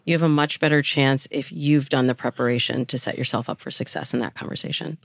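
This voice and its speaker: A woman's voice: very deep voice, fairly even intonation, fast talker, creaky, dry recording. A woman speaking as a dating coach and interview guest, explaining relationship advice conversationally with illustrative examples.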